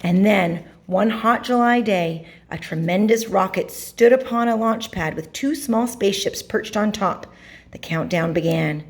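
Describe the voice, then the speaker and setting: A woman reading a picture book aloud to children, narrating expressively with dramatic pauses and emphasis. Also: medium pitch, slightly rough. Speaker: storybook reader.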